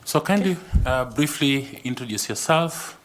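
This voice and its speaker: A man chairs an interview panel, his voice in an ordinary middle register. He speaks courteously and deliberately into a table microphone in an interview room. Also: medium pitch, lively intonation. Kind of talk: formal panel interview opening.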